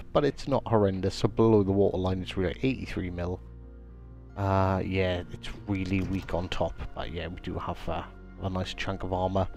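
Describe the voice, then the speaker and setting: A man talking casually through a live game stream, a bit stuffy from a cold, with a lowish voice. He chats easily and stops to greet viewers by name, with faint music playing softly behind him. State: slightly congested with a cold.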